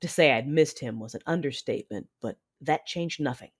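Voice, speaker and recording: A woman's voice: a bass voice, animated, fairly quick, breathy edge, full character voice. A woman playing a fierce, conflicted Sith woman who narrates a sci-fi audio drama with controlled, brooding drama. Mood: brooding reflection.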